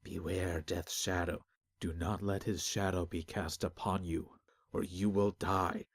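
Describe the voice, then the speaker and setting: A man voicing a fearful male townsperson who mutters slowly in a hushed voice, in an audiobook. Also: low-pitched, little pitch movement, creaky, exaggerated voice. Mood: ominous.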